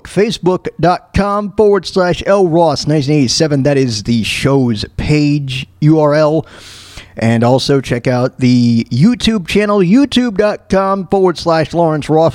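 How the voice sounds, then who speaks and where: A man with a somewhat high voice hosts a casual talk radio show on his own. He speaks in a halting way, thinking aloud as he goes, and the sound is dry and close. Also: a little mellow, no echo.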